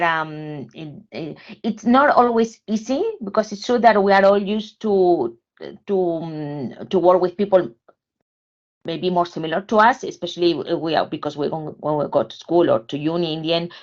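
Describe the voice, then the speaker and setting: A woman talks earnestly as a podcast guest, hesitating often as she searches for her words. Her voice sits a bit low. The sound is muffled and lo-fi. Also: normal speed. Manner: hesitant, many fillers and restarts, earnest. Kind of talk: casual panel discussion.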